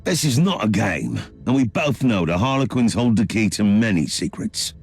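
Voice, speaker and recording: A sly, urbane inquisitor from a dark video game, wry and scheming. His low voice swings theatrically up and down as he persuades, with music playing underneath. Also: very animated, normal speed, husky, exaggerated voice. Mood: wry, persuasive. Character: sly, smooth-tongued male inquisitor, amused and scheming. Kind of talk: dramatic video game cutscene acting. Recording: music behind the voice.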